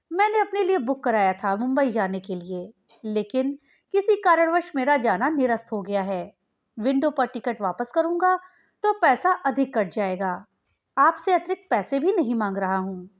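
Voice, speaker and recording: A woman's voice: a little high, big pitch swings, unhurried. A woman voicing an earnest young male ticket seller in a story, with a polite, pleading and reassuring manner. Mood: persuasive. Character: earnest, persuasive young man selling his spare train ticket. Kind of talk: storybook character dialogue. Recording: dull, lo-fi sound.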